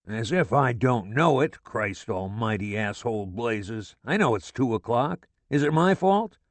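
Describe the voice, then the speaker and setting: A man voicing a furious, foul-mouthed middle-aged father who rants and shouts curses at his family. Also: medium pitch, animated, unhurried, no echo, natural voice. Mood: exasperated ranting.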